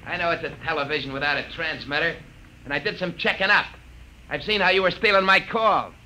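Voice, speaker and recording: A man's voice: fairly high pitch, brisk, pushed and bright. An actor playing a jealous, murderous spaceship crewman, menacing and sneering, in an old-time radio drama. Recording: noisy old radio broadcast.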